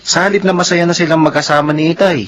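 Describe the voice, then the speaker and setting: Radio drama brother, played by a man: fairly high voice, conciliatory, gently chiding. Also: fairly even intonation, quick pace, close to an everyday voice. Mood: pleading, reproachful. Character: conciliatory adult son, gently reproaching his sister. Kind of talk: Filipino radio drama acting.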